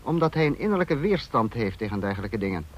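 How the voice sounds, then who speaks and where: Calculating authoritarian leader, dull recording. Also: male voice, medium pitch, very animated, fast talker. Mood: stern, critical. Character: calculating male dictator, the Protector of a fascist state. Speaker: actor in a radio play. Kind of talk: Dutch radio drama acting. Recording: dull vintage radio recording.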